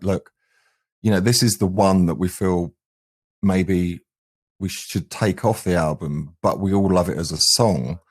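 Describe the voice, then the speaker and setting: A man's voice: sits low, darkish tone. A middle-aged man with an English accent, chatting casually as a musician guest in an interview. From England. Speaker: band frontman and guest.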